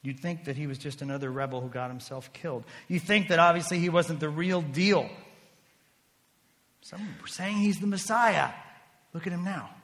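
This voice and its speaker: A man preaching with a bright, forceful voice that sits a little high. He delivers his sermon emphatically, swinging from loud declarations to hushed intensity. His words ring out with a long reverb, as if in a large hall. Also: normal speed. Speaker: pastor.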